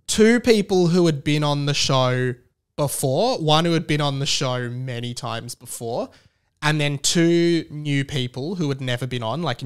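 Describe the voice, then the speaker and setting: A man's voice: a little high, smooth. A man with an Australian accent hosting a podcast solo, talking casually in an enthusiastic, rambling way. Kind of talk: casual chatty monologue.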